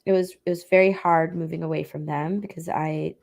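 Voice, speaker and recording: Slightly hoarse woman chatting casually. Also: deep voice, fairly quick. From Texas. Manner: relaxed, rambling, with fillers. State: slightly hoarse after illness. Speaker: guest streamer. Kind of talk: casual chat.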